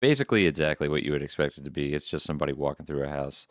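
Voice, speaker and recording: A man co-hosting a podcast, casually recapping videos in halting explanations. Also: very deep voice, no room sound, studio quality. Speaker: podcast co-host.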